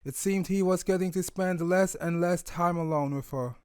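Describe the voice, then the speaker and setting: A man narrating a scripted romantic audio story in a measured storytelling manner. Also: high voice, a little mellow, smooth, no echo, studio quality.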